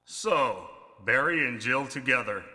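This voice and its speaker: A man's voice: mid-range voice, lively intonation, a little slow, bright, forceful tone. A man playing a wary, wounded police captain in a cheesy 1990s video game, stilted and melodramatic. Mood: grim accusing. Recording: long reverb.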